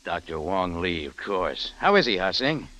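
An actor playing a wry, easygoing American cafe owner and adventurer in a 1950s radio drama, with casual noir-style delivery. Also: male voice, low voice, very animated, normal speed, bright, forceful tone, exaggerated voice. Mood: wry, reluctant. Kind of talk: 1950s radio drama acting, first-person noir narration. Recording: vintage radio with music behind.

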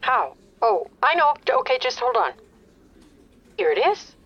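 An older mother on the phone, in a slightly muffled recording. Her fairly high voice swoops expressively, fretful, impatient and emphatic, like an exasperated customer in a naturalistic audio comedy. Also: sing-song, a little slow. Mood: flustered. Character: anxious, exasperated older mother calling about her bill.

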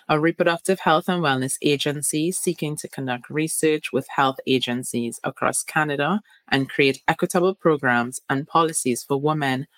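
A woman hosting a podcast, reading an introduction and then asking friendly, excited questions, often laughing. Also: low-pitched, dry recording. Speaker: podcast host. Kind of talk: podcast interview.

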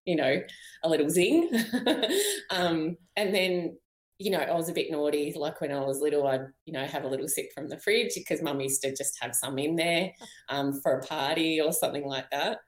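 A woman's voice: low-pitched, moderate pace, reverberant. An Australian woman in her fifties, a guest in a podcast interview, telling her own story candidly with many ums and light laughs while losing her voice. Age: 50s. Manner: chatty, many ums and you knows, frequent light laughs.

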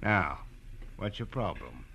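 An actor playing a shady small-time American private detective in a 1940s radio drama, with a sarcastic, cagey, slangy delivery. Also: male voice, sits low, sing-song, slow pace, gravelly, bright, forceful tone, slight background noise, exaggerated voice. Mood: sly, cagey.

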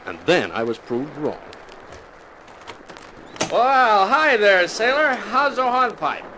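An actor playing a wry, hard-drinking private detective in a 1950s radio crime drama, with sardonic delivery. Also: male voice, high voice, sing-song, takes it slowly, background noise, exaggerated voice. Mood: tipsy, mocking. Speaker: lead actor and narrator.

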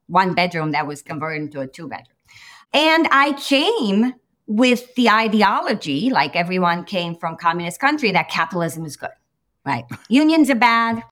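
A woman from Romania, a therapist in her forties, speaking as a guest on a podcast in an animated, emphatic way, often checking in with a quick "right?". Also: on the low side. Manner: animated, emphatic, tosses in "right?" and quoted asides. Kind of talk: podcast interview.

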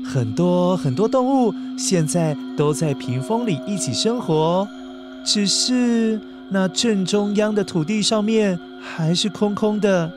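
A woman tells a children's story. Her delivery is expressive and aimed straight at young listeners, with faint music underneath. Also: medium pitch, slow pace. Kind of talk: scripted children's story narration.

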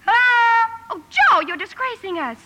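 An actress playing a proper, earnest and excitable young eldest sister in a radio drama. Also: female voice, very high voice, moderate pace, highs cut off, background noise. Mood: excited. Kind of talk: 1950s radio drama acting and narration. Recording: old 1950s radio broadcast recording with music.

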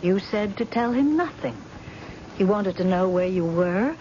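A woman's voice: medium pitch, animated, measured pace, mellow, close to an everyday voice. An actress playing an anxious, submissive wife covering for her husband, with a fretful manner, in a 1970s radio drama. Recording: dull, slightly noisy old radio broadcast recording.

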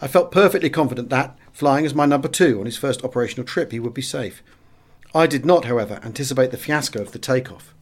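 A British man narrates a memoir as an audiobook reader. His voice sits at a medium pitch. He tells the story in a measured, expressive way, with a dramatic first-person feel.

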